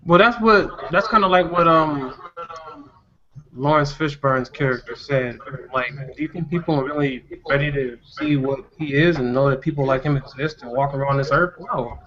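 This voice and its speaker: A man's voice: a little high, moderate pace. A man in a casual fan discussion, giving opinions in slangy talk and repeating himself for emphasis. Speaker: panelist in a film discussion. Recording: muffled recording amid background chatter.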